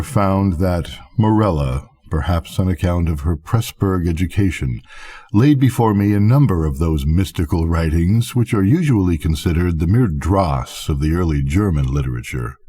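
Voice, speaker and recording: An older man reading a literary story aloud as a bedtime storyteller, in measured, deliberate phrases with pauses. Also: low-pitched, warm tone, slightly rough. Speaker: storyteller, reader.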